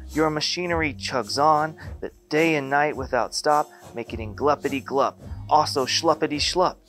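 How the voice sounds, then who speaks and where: A man's voice: medium pitch, fairly bright tone, pitched above the performer's own voice, close to an everyday voice. A man voicing a small grumpy male forest creature who scolds in fussy rhyming speech, as in a storybook reading. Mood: indignant.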